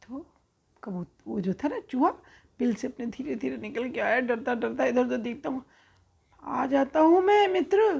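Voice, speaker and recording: A woman's voice: on the high side, moderate pace, gravelly, darkish tone, breathy edge. A woman storyteller narrating for children in a conversational, explanatory way, checking in with her listeners.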